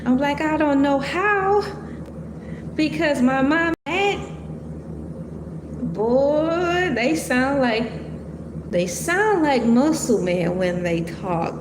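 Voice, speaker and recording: A woman's voice: high-pitched, lively intonation, drawn-out words, long pauses. A woman from Michigan with a slight Southern accent, casually reading about a cartoon and making playful, rambling asides. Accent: Southern US, Georgia-tinged. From Michigan, USA. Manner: rambling, playful, stops to comment on names. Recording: echoey room with long reverb and noise.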